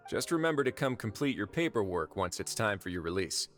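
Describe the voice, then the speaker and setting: An actor playing a suave, sardonic prison warden in a video game, speaking with wry authority. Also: male voice, lowish voice, big pitch swings, a little fast, fairly bright tone, soft background music. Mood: businesslike.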